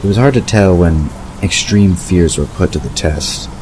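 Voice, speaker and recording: A man narrating a scripted story in a measured storytelling delivery. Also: deep voice. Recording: clear background ambience noise.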